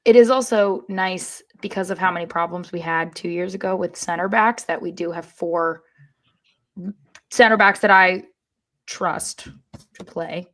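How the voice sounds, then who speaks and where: A woman's voice: fairly low pitch, measured pace, fairly bright tone. A woman co-hosting a soccer fan podcast in casual conversation. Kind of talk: casual sports chat.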